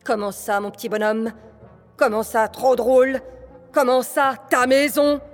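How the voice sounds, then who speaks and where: A woman's voice: fairly high pitch, lively intonation, moderate pace, soft background music, pitched above the performer's own voice. Woman playing a blustering furious king. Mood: outraged. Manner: shouting, indignant. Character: blustering indignant little king.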